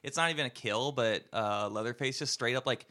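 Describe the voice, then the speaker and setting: A man hosting a loose movie podcast with a bright, forward tone. He chats easily and laughs a lot between thoughts. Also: mid-range voice, fairly quick. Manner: chatty, laughs often. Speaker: co-host. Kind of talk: casual chat.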